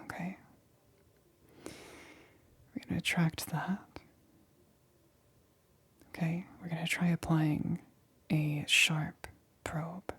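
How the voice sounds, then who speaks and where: Breathy whispering, nervous lab assistant played by a woman. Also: low-pitched, little pitch movement, a bit bright, heavily acted. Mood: cautious, clinical. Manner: hesitant, halting, repeats reassurances. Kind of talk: whispered ASMR roleplay.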